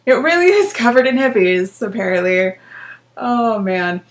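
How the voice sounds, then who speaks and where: A woman with a fairly high voice reads a story aloud in a theatrical way. She breaks in with sardonic, deadpan remarks that poke fun at the writing. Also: a little slow. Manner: deadpan, mocking asides at own writing. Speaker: book vlogger and host. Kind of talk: dramatic reading with sardonic commentary.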